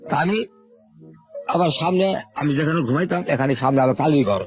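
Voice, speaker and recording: Fast-talking older man. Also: fairly high pitch, soft background music. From Bangladesh, Sherpur. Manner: unhurried rustic storytelling, repeating words. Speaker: interview guest. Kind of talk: telling his life story in an interview.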